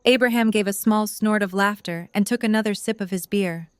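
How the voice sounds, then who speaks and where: A woman's voice: mid-range voice, brisk, clear, resonant tone, no room sound. A woman reading scripted third-person story narration for an audio drama.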